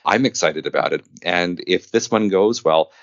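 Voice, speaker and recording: Male interviewee, deep register, enthusiastic and effusive, slightly lo-fi sound. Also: normal speed, no room sound. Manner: effusive, repeats phrases for emphasis. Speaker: podcast guest, filmmaker and actor.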